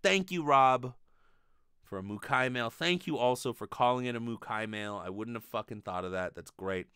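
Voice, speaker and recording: Man, late twenties, comedy podcast host, clear animated voice, casual, sweary, rambling, dry close-mic sound. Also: mid-range voice, normal speed, no room sound. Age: late 20s. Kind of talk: casual comedic monologue, answering listener mail. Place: small bedroom, indoors. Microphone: close condenser microphone on desk with pop filter.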